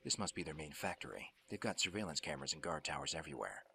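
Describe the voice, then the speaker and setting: A man playing a gruff, hardened covert soldier in a radio drama, terse, controlled and pragmatic, with a very deep, gravelly voice. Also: lively intonation, fast, pushed and bright, a little hiss or hum, heavily acted. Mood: grim, matter-of-fact. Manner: terse, hard-edged, controlled.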